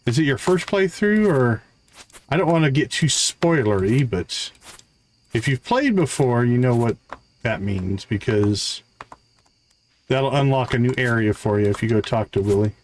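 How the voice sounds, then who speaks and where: Man streaming games over clear background noise. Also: medium pitch, expressive, measured pace. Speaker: live game streamer. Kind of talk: casual live gameplay commentary, chatting with viewers.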